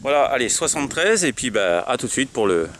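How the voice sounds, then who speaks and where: A man's voice: mid-range voice, big pitch swings, a little fast, fairly bright tone, a little hiss or hum. A man from France with a French accent, chatting casually and hesitantly as a radio operator presenting his gear, often repeating himself. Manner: hesitant, repetitive. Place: outdoors at a roadside.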